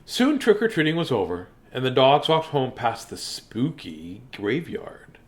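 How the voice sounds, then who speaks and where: Animated man reading a bedtime story. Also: medium pitch. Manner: theatrical, with dramatic pauses.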